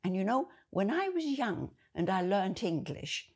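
A woman with a German accent, a native German speaker, giving a slow, deliberate explanatory talk. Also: medium pitch, very animated, a little mellow, slightly rough. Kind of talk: measured spoken commentary.

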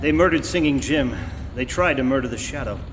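An actor playing a serious, urgent gentleman detective in a live radio drama. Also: mid-range voice, fairly quick, natural voice. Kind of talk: live old-time radio crime drama acting. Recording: noisy live echoey hall.

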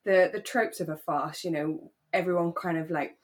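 A woman with a slightly low, husky voice chats as a podcast co-host. She talks in a relaxed, conversational way, working out her thoughts as she goes and checking for agreement. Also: moderate pace. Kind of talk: relaxed chat discussing a radio drama.

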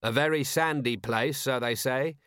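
A man's voice: mid-range voice, moderate pace, fairly bright tone, no room sound, studio quality, natural voice. A man playing a wry, weary city watch commander in an audiobook, speaking in a sardonic, clipped way.

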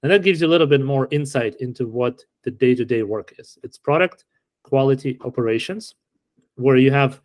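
A middle-aged man from Ukraine answering interview questions in a relaxed, explanatory way with frequent fillers. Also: medium pitch, moderate pace, dry recording. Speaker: podcast guest.

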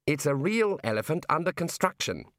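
A gloomy young male wizard, weary and exasperated, who sighs his way through awkward explanations, as a man voices him in a storybook reading. The delivery is lively in pitch and sounds close and dry. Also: normal speed, no echo.

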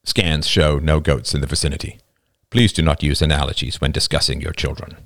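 A man's voice: a bass voice, fairly even intonation, a little fast, creaky, a bit bright, dry recording, studio quality, voice pushed down, exaggerated voice. A man voicing a polite, impassive robotic baby-faced angel in an audiobook story. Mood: impassive, warning. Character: calm floating angel robot with a baby face.

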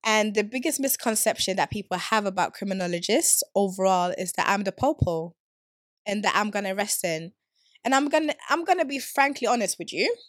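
A young woman from Sweden talks through her podcast questions in a loose, joking, slangy way, and her voice sits at an ordinary middle pitch. The sound is dry and close, with no room around it. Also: no room sound. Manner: animated, playful, slangy, quick to laugh. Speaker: podcast host. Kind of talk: casual solo podcast chat.

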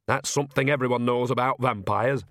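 A man's voice: medium pitch, steady tone, brisk, a bit bright, no echo, clean studio sound, lightly acted. A man voicing a wrinkled, bossy old village witch woman in an audiobook, folksy, blunt and colloquial. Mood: brisk, knowing. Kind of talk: audiobook character voice.

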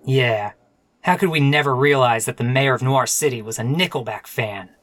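An actor playing a sardonic, melodramatic hard-boiled private detective in a parody radio mystery. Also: male voice, medium pitch, normal speed, a bit bright, dry recording, lightly acted. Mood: brooding.

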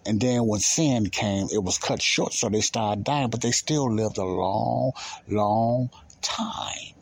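A man's voice: on the low side, slow pace. A man teaching the Bible in an informal sermon, emphatic and repetitive, often asking questions and answering them himself.

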